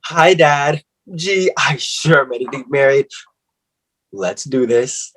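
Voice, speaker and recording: A man's voice: medium pitch, takes it slowly, a bit bright. A man in a comedic play reading, playing a cheeky young friend with a flippant, sarcastic delivery.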